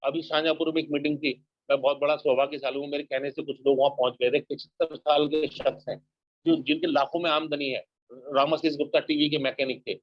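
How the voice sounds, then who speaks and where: A man's voice: on the high side, no echo. A man giving an earnest motivational talk on network marketing, exhorting listeners and repeating his points. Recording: dull lo-fi, dry sound.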